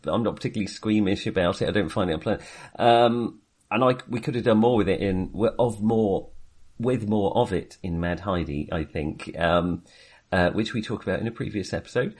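A man co-hosting a casual film discussion, rambling and often correcting himself as he goes. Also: on the low side. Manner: hesitant, rambling, self-correcting. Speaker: podcast co-host reviewing films.

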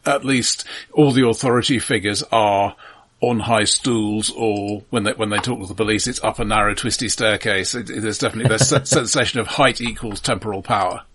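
A man co-hosting a podcast, talking analytically but casually about film in measured, articulate, slightly hesitant phrases. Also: lowish voice, fairly even intonation, a bit bright, slightly rough. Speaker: podcast co-host.

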